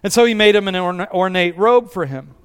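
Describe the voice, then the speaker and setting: High-voiced pastor with a smooth voice. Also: male voice, a little fast. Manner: conversational, asks the audience questions. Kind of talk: sermon. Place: church with congregation.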